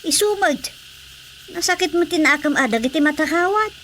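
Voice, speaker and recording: A nagging Ilocano wife, high-pitched and very animated. She performs in radio comedy style, with faint noise behind. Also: moderate pace. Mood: scolding. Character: nagging wife pressing her husband, the village leader.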